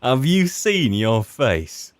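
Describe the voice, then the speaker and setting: A man's voice: mid-range voice, big pitch swings, slow pace, dry recording. A British man doing casual in-character commentary while playing a fantasy game, wryly muttering to himself. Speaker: player narrating a roleplay of a fantasy video game. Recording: game music behind the voice.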